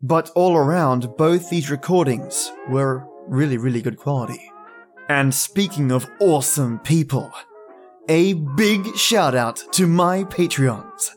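A man's voice: fairly high pitch, slow. A man hosting a podcast, giving a scripted introduction addressed to his listeners. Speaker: podcast host. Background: music.

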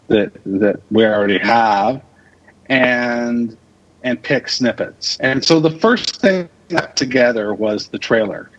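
A man giving casual interview answers as a podcast guest, thinking aloud in halting fragments. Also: on the low side. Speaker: podcast guest, radio drama creator and producer. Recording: dull lo-fi audio.

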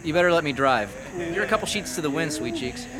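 An actor playing a nervous, placating teenage jock with a hesitant, cajoling manner in an audio drama. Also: male voice, a little high, huge pitch swings, quick pace, low-quality recording. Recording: loud crowd and car sounds behind.

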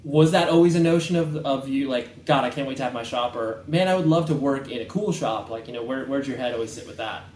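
A man's voice: medium pitch, fast talker, hardly any pauses, darkish tone, slight background noise. A man hosting an interview in a tattoo shop, asking long, rambling questions in a casual, chatty way. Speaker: interviewer, podcast host. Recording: echoey room.

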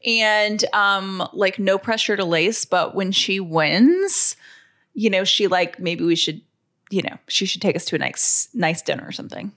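A woman's voice: medium pitch, unhurried, a bit bright. A woman co-hosting a podcast, talking in a casual, conversational way. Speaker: podcast co-host.

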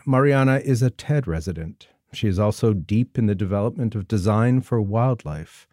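Podcast host guy, low warm voice, calm measured delivery, dead dry studio. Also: no echo. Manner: measured, scripted introduction then thoughtful questions. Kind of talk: interview podcast.

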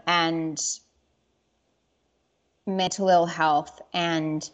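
Woman, interview guest, slightly low-pitched, earnest, candid, halting restarts. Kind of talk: personal reflective talk.

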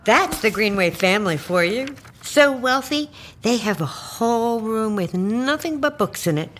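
Sly older great-aunt, animated delivery. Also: female voice, a little high, a little slow, slight background noise. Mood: breezy, wry. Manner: coaxing, condescending endearments. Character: sly, folksy great-aunt, sweetly evasive and nudging. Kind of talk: audio drama acting.

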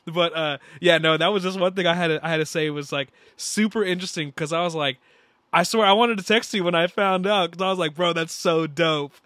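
A young man co-hosting a sports podcast, talking in an excitable, slangy way and repeating himself. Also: fairly high pitch, fairly quick, no room sound, studio quality. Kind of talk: casual basketball chat.

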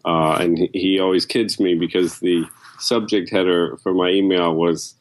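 A man's voice: low-pitched, level tone, moderate pace, low-quality recording. A man, an editor, answering questions casually as a guest in an interview.

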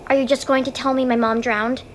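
A woman's voice: high-pitched, little pitch movement, a little fast, faint music behind, lightly acted. An actress playing a strange, blunt, insistent young girl in a supernatural audio drama. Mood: eerie, insistent.

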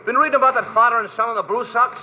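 An actor playing a chatty, folksy cab driver who repeats himself in slang, in an old radio drama. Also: male voice, very high voice, steady tone, rapid-fire. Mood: chatty, pestering. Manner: garrulous, repetitive, slangy working-class talk. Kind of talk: golden-age radio drama acting. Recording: muffled, hissy vintage radio recording.

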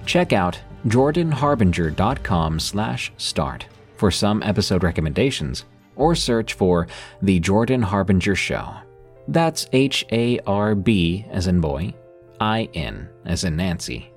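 A man's voice: deep voice, big pitch swings, slow, soft background music. A man reading a scripted podcast promo as an upbeat recommendation. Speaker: ad reader.

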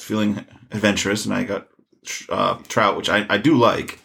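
A man's voice: lowish voice, fairly even intonation, moderate pace, vocal fry, a bit bright. A man co-hosting a podcast, rambling a little in casual chat. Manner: rambling, restarts. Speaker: podcast co-host.

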